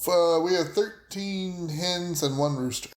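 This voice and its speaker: A man's voice: sits high, measured pace. A man chatting casually as a player on a tabletop game podcast, with a roomy, echoing sound. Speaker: player on an actual play podcast. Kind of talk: casual chat.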